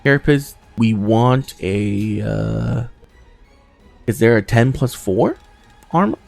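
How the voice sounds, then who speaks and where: A man's voice: on the low side, expressive, slow pace, creaky, a little mellow, soft background music. A man giving casual playthrough commentary as a gaming host, thinking aloud and swearing casually as he goes.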